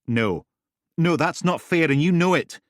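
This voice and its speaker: Exasperated dad, voiced by a man, pleading, animated pitch, dry close mic. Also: a little slow, no room sound. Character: exasperated divorced father. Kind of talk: audiobook character voice.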